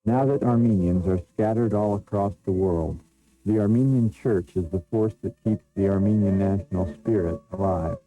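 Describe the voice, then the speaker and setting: A man giving scripted first-person documentary narration in a measured, reflective way. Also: lowish voice, dark tone. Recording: narrow lo-fi sound with faint music. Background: faint music.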